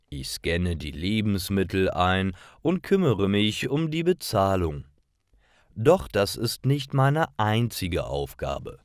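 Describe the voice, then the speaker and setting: A man reading scripted stories for language learners as a narrator, in a careful, deliberate way. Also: deep voice, no room sound, clean studio sound.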